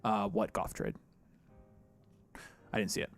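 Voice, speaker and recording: A young man hosting a casual fantasy football live stream from a small studio, talking into a boom mic and reading viewer chat. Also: lively intonation, vocal fry, soft background music. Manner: quick, chatty, reads chat aloud. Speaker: fantasy football podcast host. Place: small studio with neon lights. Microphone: boom mic on arm.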